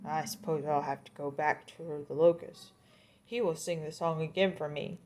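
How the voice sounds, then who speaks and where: A woman's voice: deep voice, fairly even intonation, normal speed, a little mellow, voice pushed down, close to an everyday voice. Woman reading as an eager, grumbling coyote. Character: eager, forgetful, easily annoyed male coyote.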